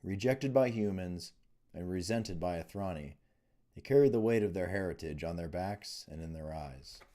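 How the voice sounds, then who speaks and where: Low-pitched man narrating a fantasy tale. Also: moderate pace, dark tone. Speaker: author reading own book. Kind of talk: scripted audiobook narration of a fantasy novel.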